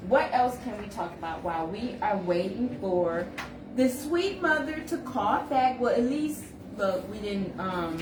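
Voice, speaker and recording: A woman hosting a live call-out show, chatting casually and laughing at herself. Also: on the high side. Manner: giggly, chatty, self-teasing. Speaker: host. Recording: echoey room, mic far off, noisy.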